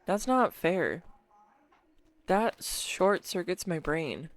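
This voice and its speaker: A woman playing an earnest, bashful, talkative girlfriend in an intimate audio roleplay, speaking straight to the listener in a halting, teasing manner. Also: fairly low pitch, big pitch swings, heavily acted. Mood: flustered, playful.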